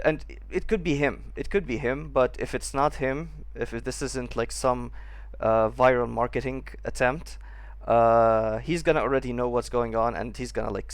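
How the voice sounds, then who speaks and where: A man's voice: mid-range voice, dry recording. A man giving casual, rambling playthrough commentary as a gaming streamer, close to the mic.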